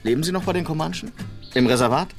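A man's voice: a little high, lively intonation, quick pace, vocal fry, faint music behind. An actor playing an upright, formal city policeman out West in a German radio play. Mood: curious. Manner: earnest, correct, formal.

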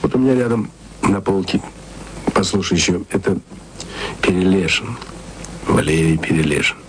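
An actor playing a tender, literate lover in an intimate radio-play monologue, with theatrical, drawn-out phrasing. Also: male voice, low-pitched, animated, slight background noise, low-quality recording. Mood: musing, wry. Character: tender, musing literate lover speaking to his beloved.

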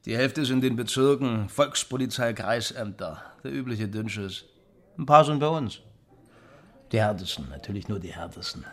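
An actor playing a boastful, hard-drinking East German secret police lieutenant in a radio drama, crude, condescending and tipsy. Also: male voice, on the low side, lively intonation, moderate pace. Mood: drunken, boastful. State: drunk.

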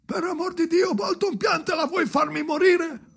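Man acting a shrill, exasperated doctor shouting. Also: fairly even intonation, normal speed, gravelly, full character voice. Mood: exasperated pleading. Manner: indignant, exclaiming, emphatic. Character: exasperated doctor Holloway, protesting loudly to his old friend. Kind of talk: experimental radio drama acting.

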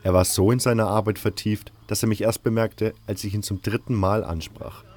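A man's voice: fairly low pitch, fairly even intonation, fairly quick, a little mellow. A man narrating a scripted fantasy audio drama in the first person as a storyteller. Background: music.

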